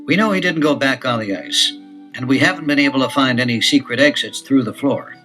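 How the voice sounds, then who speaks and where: An actor playing a calm, authoritative middle-aged detective father in a 1970s children's audio adventure, speaking deliberately. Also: medium pitch, faint music behind. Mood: focused, encouraging. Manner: deliberate, measured, instructive.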